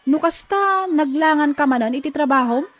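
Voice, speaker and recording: A woman puts on a curious, sympathetic female friend with a high, raised voice. She has an Ilocano accent and speaks in radio-drama style. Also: moderate pace, lightly acted.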